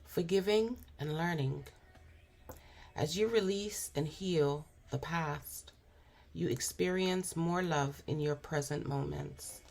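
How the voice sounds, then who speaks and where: A hoarse woman with a cold, giving a spiritual tarot reading in an unhurried, musing way with frequent pauses and fillers. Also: low voice, darkish tone. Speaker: tarot reader.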